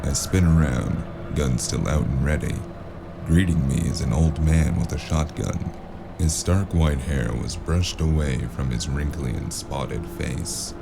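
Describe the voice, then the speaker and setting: A man playing a weary, sardonic hard-boiled investigator, narrating a noir audio drama in a gravelly, deadpan way. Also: extremely low pitch, measured pace, loud music behind the voice, exaggerated voice. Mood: brooding, wry. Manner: deadpan, dry, brooding.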